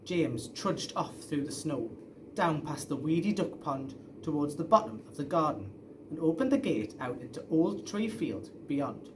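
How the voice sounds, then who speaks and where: Very animated man reading a children's story. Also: a little high, a little slow, background noise. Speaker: story reader.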